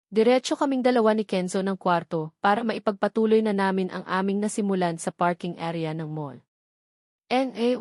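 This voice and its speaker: A woman's voice: medium pitch, a little mellow, dry recording, clean studio sound. A woman reading a first-person romance novel as a scripted audiobook narrator.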